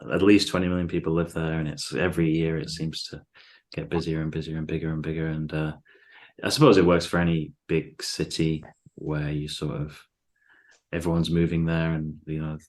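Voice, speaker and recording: A man's voice: very deep voice, level tone, normal speed, a little mellow. A man speaking as a guest poet, reading verse and chatting casually about it. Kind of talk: poetry reading and casual chat.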